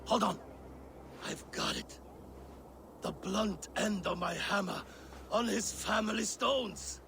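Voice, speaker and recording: Brawling thunder god, blunt, heavy creak, raised pitch, video game drama. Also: male voice, takes it slowly, pushed and bright, slight background noise, full character voice. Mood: brash. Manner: blustering, blunt. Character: brash, brawling thunder god, hot-headed man. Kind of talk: video game cinematic acting.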